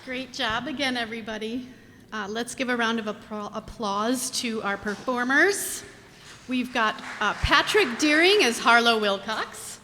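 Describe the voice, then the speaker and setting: A woman's voice: a little high, a little slow. A woman hosting an informal curtain call, thanking performers from a stage in an echoey hall. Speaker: host thanking cast. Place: theater stage before an audience. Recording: echoey hall, loud crowd noise and applause.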